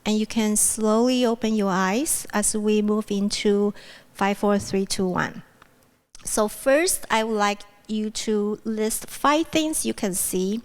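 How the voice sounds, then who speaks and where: A woman whose first language is Mandarin leads a guided grounding exercise at a podium microphone, speaking gently with many fillers. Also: medium pitch. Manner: gentle, unhurried, with frequent fillers and restarts. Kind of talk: guided mindfulness exercise at a plenary. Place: university event room with a loud air-conditioning fan.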